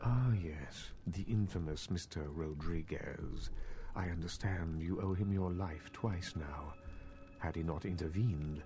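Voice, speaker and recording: Very deep, courtly elder vampire. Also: male voice. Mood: sly, guarded. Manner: formal, measured, courtly speech. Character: scheming elder male vampire, cultured and condescending. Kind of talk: video game character dialogue. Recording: loud game music behind. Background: music.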